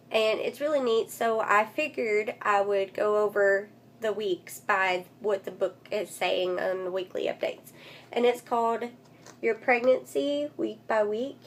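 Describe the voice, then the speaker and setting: A woman vlogger with a congested, nasal voice, recovering from losing it, speaking in a casual update style and recorded on a phone. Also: on the high side. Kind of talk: casual update vlog, reading aloud from a book. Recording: clear background noise, phone recording.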